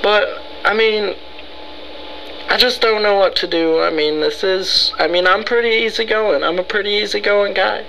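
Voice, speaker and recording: A man putting on a frightened, rambling, easygoing fellow who pleads haltingly and melodramatically for help in an improvised prank call. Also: high voice, vocal fry, bright, forceful tone, slight background noise, full character voice, poor audio. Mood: shaken, pleading. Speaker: prank caller.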